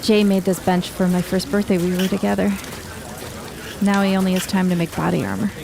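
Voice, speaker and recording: Casting: woman to play a hardened, guarded survivor captain for radio drama, mid-pitched, commanding and clipped, over crowd noise. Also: brisk, gravelly, lightly acted. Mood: weary, wry. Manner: commanding, terse. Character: tough guarded female commander of survivor militia. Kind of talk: post-apocalyptic radio drama acting.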